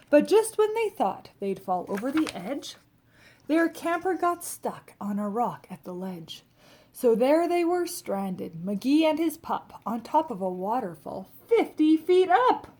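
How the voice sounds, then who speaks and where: A woman reading a rhyming children's picture book aloud, expressively, with dramatic emphasis and drawn-out key words. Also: high voice, a little mellow. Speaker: storybook reader.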